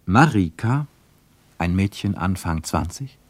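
A man reading scripted literary narration for a radio play, measured and wryly ironic. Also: sits low, lively intonation, dry recording.

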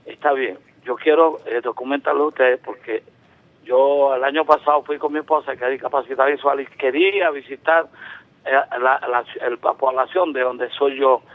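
A man's voice: medium pitch, fairly even intonation, normal speed. Rambling older man on a phone line. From Venezuela. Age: 70s. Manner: rambling, emphatic, with many restarts. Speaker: caller. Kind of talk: call-in radio talk. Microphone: telephone.

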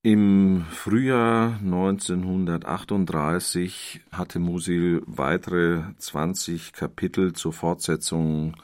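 Male literary scholar, very low register, measured explanatory delivery in long sentences. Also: fairly even intonation, a little mellow. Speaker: literary scholar, expert commentator.

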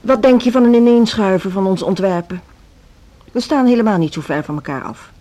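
An actress playing a seasoned, resigned music school headmistress in a radio drama, composed and patronising. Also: female voice, medium pitch, brisk, warm tone, close to an everyday voice. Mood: conciliatory. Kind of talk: Dutch social radio drama acting.

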